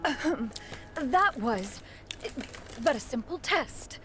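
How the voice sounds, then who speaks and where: A woman's voice: high-pitched, animated, takes it slowly, music in the background. Proud, lofty immortal lady. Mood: haughty, flustered. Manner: lofty archaic speech, refers to self as one. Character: proud, formal, motherly immortal adeptus woman. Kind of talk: scripted video game voice acting.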